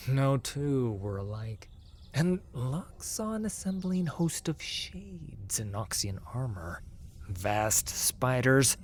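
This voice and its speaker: Slow, dramatic male fantasy narrator. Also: medium pitch, slight background noise. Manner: intense, dramatic, with hushed drops. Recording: music under the voice. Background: music.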